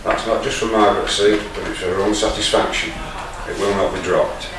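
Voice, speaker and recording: Echoey, low-voiced Englishman giving a speech. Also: steady tone, a little fast. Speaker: community group speaker. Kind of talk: formal presentation speech. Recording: echoey room, distant mic, background noise.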